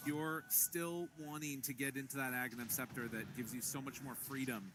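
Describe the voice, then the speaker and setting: A man's voice: medium pitch, steady tone, normal speed, music in the background. A man doing live play-by-play commentary on an esports match. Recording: clear background noise behind voices.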